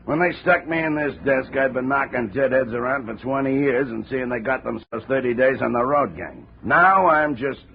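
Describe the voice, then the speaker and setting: An actor playing a gruff, aging railroad detective who talks in heavy railroad slang, in a 1950s radio drama. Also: male voice, medium pitch, moderate pace, hardly any pauses, natural voice. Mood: grumbling. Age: older. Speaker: railroad cop. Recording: dull old radio transcription with faint hiss.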